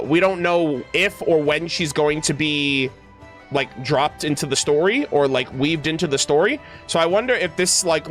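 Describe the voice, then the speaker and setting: High-voiced man streaming a game over music. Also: fairly bright tone. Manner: casual, exclamatory reactions, stumbles when reading aloud. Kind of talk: livestream game playthrough, reading dialogue aloud and reacting.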